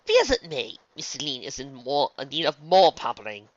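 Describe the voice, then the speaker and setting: A man's voice: medium pitch, sing-song, fairly quick, no room sound, dull audio, voice pushed up, lightly acted. A man voicing a refined, bossy, theatrically gracious lady pony who coaxes with genteel endearments. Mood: gently firm. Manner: gushing, genteel, uses endearments.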